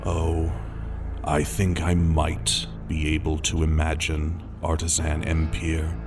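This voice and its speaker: A man voicing a composed, menacing warrior commander in an audiobook, cold and deliberate. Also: a bass voice, completely flat delivery, far from the mic, over music. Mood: coolly mocking. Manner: cold, deliberate, ominously intimate. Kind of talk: dramatic audiobook character voice.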